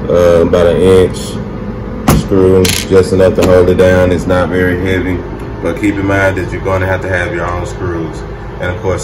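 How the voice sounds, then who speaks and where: A man in his thirties hosting a casual DIY video, informally talking through each step as he works in a noisy home room. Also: low voice. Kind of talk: casual hands-on product demo and tutorial. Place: small home game room, indoors.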